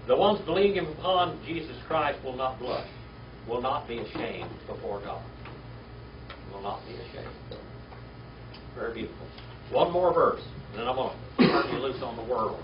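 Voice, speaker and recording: An older man teaching a Bible class from a podium in a wood-panelled classroom, drilling Greek words aloud and often checking in with "all right?". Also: medium pitch. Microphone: podium mic from far camera. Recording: roomy, distant, dull, noisy classroom video.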